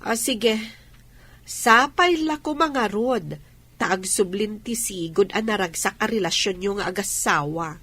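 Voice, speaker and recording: A woman's voice: medium pitch, unhurried, pushed and bright, slightly rough, full character voice. An actress playing a chatty, teasing, giggly woman friend in an Ilocano radio drama. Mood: teasing, curious.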